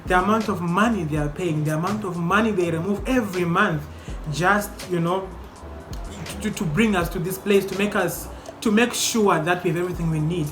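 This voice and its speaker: A young man hosting a casual group discussion among medical students, asking questions in an animated way. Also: sits high, moderate pace. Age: young adult. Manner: animated, questioning, laughs often. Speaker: host. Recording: echoey room with music behind.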